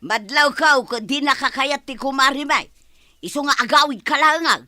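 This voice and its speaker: A woman playing a bossy, protective village commander in an Ilocano radio comedy drama, speaking loudly and emphatically with an Ilocano accent. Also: high voice, normal speed, no room sound, studio quality. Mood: stern, threatening. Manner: loud, emphatic, exclamatory. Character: bossy, scolding female village commander, fiercely protective friend.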